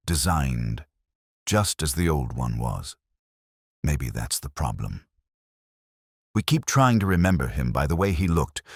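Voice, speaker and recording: A composed, solemn woman read by a male narrator in a very deep register. She speaks with steady deliberation and candour, as in a public statement within a detective story. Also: slightly rough, no room sound, clean studio sound, heavily acted.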